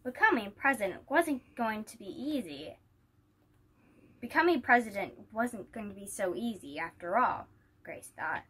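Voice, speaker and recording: A woman's voice: medium pitch, expressive, measured pace, a bit bright, husky. A woman reading a children's picture book aloud as a storyteller. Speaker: story reader.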